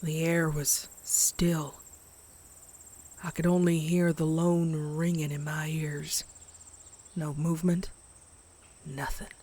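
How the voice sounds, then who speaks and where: A woman's voice: low-pitched, level tone, exaggerated voice. A woman voicing a frightened pony who narrates a horror comic dub in a suspenseful, dramatic way. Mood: hushed dread. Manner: slow, suspenseful, dropping into hushed breathy whispers. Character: terrified pony narrating a horror tale, dread-filled.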